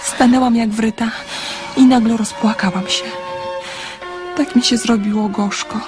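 A woman playing a shy, earnest, sensitive young woman in a radio play, telling her story in a soft, confiding, tender way. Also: on the high side, fairly even intonation, a little slow, natural voice. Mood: bashful. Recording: music under the voice. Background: instrumental music.

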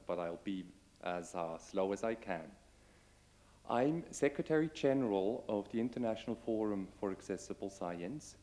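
A man's voice: lowish voice, darkish tone. Man giving a conference talk. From Switzerland. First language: Swiss German. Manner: addresses audience directly, asks questions. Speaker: conference speaker. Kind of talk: conference presentation. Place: conference room with window. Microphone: table microphone.

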